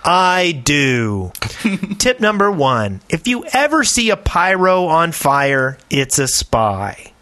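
A man's voice: a little high, sing-song, slow, sharp tone. A man co-hosting a casual podcast, playfully theatrical as he chats and reads aloud. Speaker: podcast host. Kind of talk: casual chat and reading listener mail aloud.

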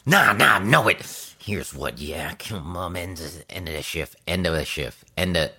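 A man's voice: low-pitched, animated, a little slow, vocal fry, pushed and bright, slightly rough. A man recording voice lines who swears and restarts as he stumbles. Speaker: voice actor.

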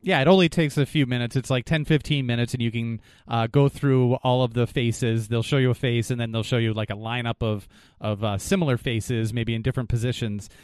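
A man's voice: medium pitch, fairly even intonation, brisk, a little mellow, smooth, dry recording, studio quality. A man with a New England accent co-hosting a podcast, chatting casually and rambling with fillers. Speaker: podcast co-host.

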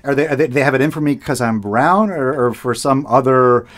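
Middle-aged guy rambling in an interview, lots of likes and you-knows, laughs a bit, normal pitch. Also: fairly quick. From United States. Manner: rambling, many restarts and fillers, laughs often. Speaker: radio host, interviewee. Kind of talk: casual conversational interview.

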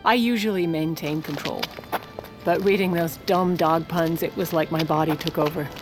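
A scheming First Lady, played by a woman tells her own story in an audio drama, with music clearly under her. She is dry, abrupt and theatrical, always justifying herself. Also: sits low, steady tone, normal speed, natural voice. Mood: imperious, dry. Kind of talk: scripted audio-drama narration and dialogue.

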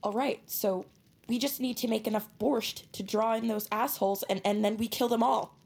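A woman playing a blunt, sarcastic, impatient young sidekick with a husky voice in an audio drama. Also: on the high side, fairly even intonation, normal speed, darkish tone. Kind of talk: scripted audio drama acting.

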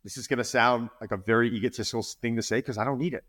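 A man's voice: mid-range voice, steady tone, very fast, a bit bright, breathy edge. Earnest fortyish man, fitness trainer. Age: 40s. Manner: earnest, repeats words when pressing a point. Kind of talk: podcast interview.

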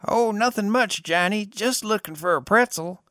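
A tinny, high old desert drifter. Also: male voice, normal speed, fairly bright tone, dry recording, clean studio sound. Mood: knowing. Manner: drawling, folksy, chatty. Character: skinny old bearded desert drifter, cheerful and sly.

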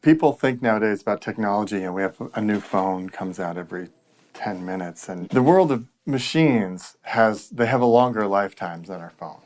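A man's voice: on the low side, lively intonation, moderate pace, a little mellow. A man co-hosting a podcast, chatting casually with a guest and chiming in. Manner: conversational, chimes in and laughs. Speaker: podcast co-host. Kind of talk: casual interview chat.

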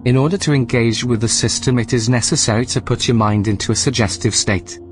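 A man playing a calm, polite station computer in a sci-fi audio drama, speaking in a measured, formal, matter-of-fact way. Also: medium pitch, natural voice. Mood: placid, explanatory.

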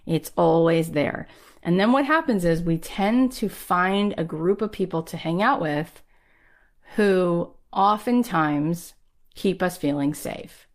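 A woman's voice: lowish voice, creaky. A middle-aged woman hosting a podcast, giving an earnest, encouraging motivational talk with emphatic stresses. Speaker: podcast host and coach.

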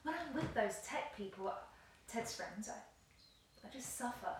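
An actress playing a cultured, regretful former concert pianist in an audio play, reminiscing ruefully in hesitant phrases. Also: female voice, fairly high pitch, animated, a little slow. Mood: confessional, dissatisfied. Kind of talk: audio play acting, confessional monologue. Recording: very echoey, slightly distant, faint noise.

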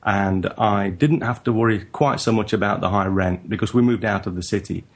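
A man with a northern English accent and a fairly low voice talks through a casual interview. He speaks in a halting, reflective way and often restarts his sentences. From northern England. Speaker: guest photographer.

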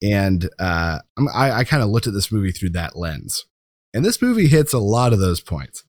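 A man's voice: lowish voice, sing-song, moderate pace, dry recording, studio quality. A man hosting a podcast, chatting casually about films and leaning on key words in an informal way. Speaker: podcast host. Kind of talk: casual film discussion.

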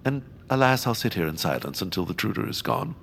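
An actor playing a pompous, spiteful bird land baron in an audio drama, with theatrical disdain. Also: male voice, lowish voice, sing-song, quick pace, vocal fry, a bit bright, a little hiss or hum, heavily acted. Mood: grumpy, disdainful.

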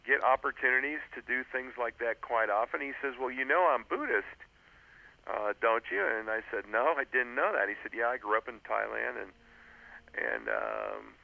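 A man on a radio interview tells a long personal anecdote in an earnest, halting way, restarting his sentences and filling gaps with "uh". His mid-pitched voice has a gravelly fry. It comes through a dry, narrow, tinny phone line. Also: no echo. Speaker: author and interview guest.

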